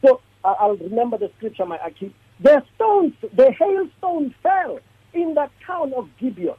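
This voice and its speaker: Husky voice over a phone line. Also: sits high, big pitch swings, normal speed, dry recording. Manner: earnest and emphatic, restarts phrases while thinking. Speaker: panelist on a live religious talk show. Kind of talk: preaching-style discussion, reading scripture aloud.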